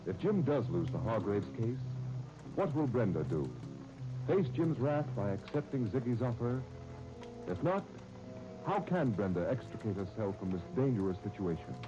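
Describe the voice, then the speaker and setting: An American man announcing on 1930s radio, narrating and pitching the sponsor in a polished, folksy, persuasive way. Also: big pitch swings, normal speed, music in the background. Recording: muffled 1930s radio recording.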